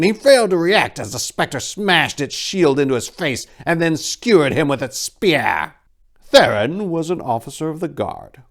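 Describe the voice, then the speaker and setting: A man narrating in a theatrical, emphatic way, like a dramatic reading. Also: a little high. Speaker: narrator and host.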